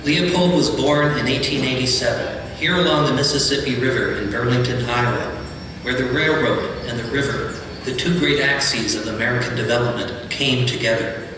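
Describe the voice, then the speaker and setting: A man's voice: mid-range voice, moderate pace, noisy background. A man reading scripted documentary narration, with music behind him. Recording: distant echoey hall playback, music behind.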